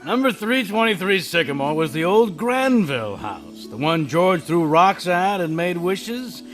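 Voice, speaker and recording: A patient heavenly narrator, voiced by a man in a radio play. His voice rises and falls with lively storytelling, and faint music plays behind him. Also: high voice, measured pace, heavily acted. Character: calm heavenly male narrator, an angel's superior.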